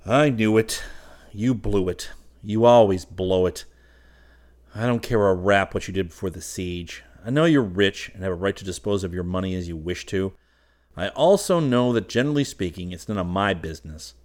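A man voicing a brisk, commanding young man in an audiobook, speaking bluntly and emphatically as he scolds. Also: fairly low pitch, natural voice. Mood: stern, exasperated.

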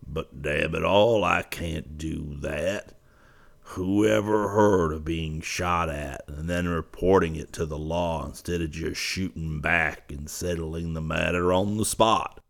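A man reading a storybook aloud and voicing a gruff, hot-tempered cowboy in a low, drawling, hoarse voice. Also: slow, heavily acted.

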